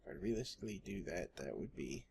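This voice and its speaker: A man's voice: low-pitched, expressive, quick pace, gravelly, darkish tone. A man from Texas with a Texan accent gives casual, rambling gameplay commentary as a video host, jokey and self-deprecating, often talking to himself. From Texas, United States. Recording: home recording with faint background noise.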